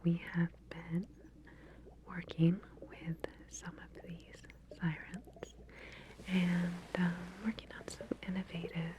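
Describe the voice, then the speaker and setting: A woman whispering gently in an ASMR roleplay as a medical researcher, breathy and unhurried, pausing as she explains procedures. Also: on the low side, little pitch movement, background noise.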